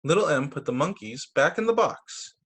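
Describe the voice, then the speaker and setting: A man reading picture books aloud to children as a teacher, expressive, with brief casual asides. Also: a little high, moderate pace, husky. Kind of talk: animated children's story reading. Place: remote video story time.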